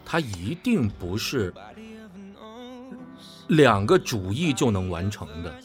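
A man's voice: lowish voice, very animated, slow. A man chatting on a panel as a voice actor, explaining his views earnestly and with emphasis. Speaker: voice actor and drama director. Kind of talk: casual panel chat. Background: background music.

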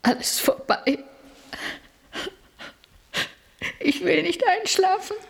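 An actress playing an anxious, melancholy woman in a radio drama, speaking haltingly and solemnly. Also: very high-pitched, lively intonation, vocal fry, exaggerated voice. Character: anxious, melancholy woman facing long sleep. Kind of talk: German radio drama acting. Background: music.

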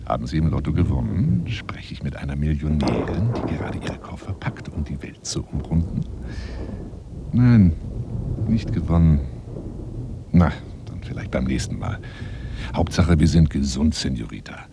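A man's voice: very animated, moderate pace, noisy background, heavily acted. An actor playing a lonely, boastful middle-aged bachelor adventurer wooing a woman by phone in a radio drama, with flowery, insinuating talk that drops into near-whispers. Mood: eager, courting. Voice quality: deep, gravelly. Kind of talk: radio drama monologue, one side of a phone call.

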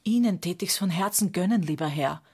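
Casting: older widow in radio drama, gushing, gossipy, given to nostalgic rambling. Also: female voice, lowish voice, fairly quick, a little mellow, husky. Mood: gushing, wistful. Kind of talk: radio play dialogue.

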